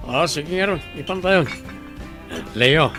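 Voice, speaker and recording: A man's voice: a little high, slow pace, over music. A man acting a teasing, merry drinking companion in a radio comedy drama.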